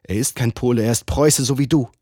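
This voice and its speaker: A man's voice: mid-range voice, fairly quick, no echo, clean studio sound, close to an everyday voice. A man voicing a defiant, scornful young woman. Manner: sharp, defiant, dismissive.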